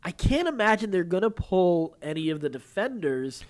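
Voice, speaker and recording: A man's voice: high voice, sing-song, moderate pace. A man hosting a podcast, moving between reading news and casually explaining his take in a talkative way. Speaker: podcast host.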